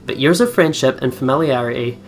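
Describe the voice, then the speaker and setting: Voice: mid-range voice, brisk. A let's play host reading game text aloud with casual commentary, over game music.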